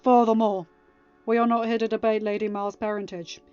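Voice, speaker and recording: A woman's voice: a little high, little pitch movement, brisk, darkish tone, clear, resonant tone, dull audio, faint music behind, close to an everyday voice. A woman voicing a stern young king in a storybook reading, firm, formal and hard-edged. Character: stern, commanding young king chairing a trial.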